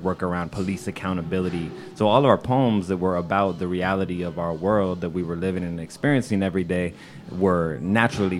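A man in his thirties, an interview guest who speaks earnestly and reflectively. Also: sits low. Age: 30s. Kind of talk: conversational interview.

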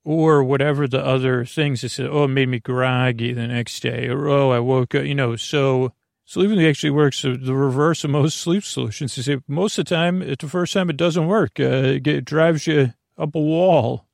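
A man with a creaky, gravelly voice hosting a sleep podcast in a meandering bedtime monologue, halting, absent-minded and self-deprecating. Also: mid-range voice, fairly even intonation, no echo, clean studio sound.

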